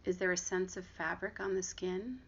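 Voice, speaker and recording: Low, creaky-voiced woman guiding meditation. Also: flat delivery. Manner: gentle, unhurried instruction with long pauses. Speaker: meditation guide and therapist. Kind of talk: guided body scan meditation. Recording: dull lo-fi audio with clear background noise.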